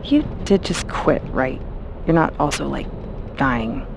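A woman's voice: a bass voice, animated, takes it slowly, exaggerated voice. A busy mother amid car noise. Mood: concerned, tender. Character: busy, frank, affectionate mother and wife in car. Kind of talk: naturalistic audio drama acting. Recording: clear vehicle noise behind.

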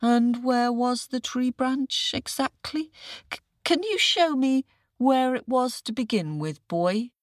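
A woman's voice: high-pitched, takes it slowly, no echo, clean studio sound, pitched above the performer's own voice. A woman voicing a wily male jackal who drawls and acts puzzled. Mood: sly, puzzled. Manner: drawling and yawning, feigning puzzlement.

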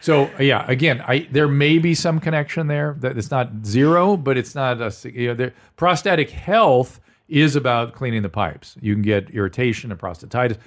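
Male podcast host, mid-pitched, frank and jokey, casual chat. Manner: joking, frank, fast asides with laughs.

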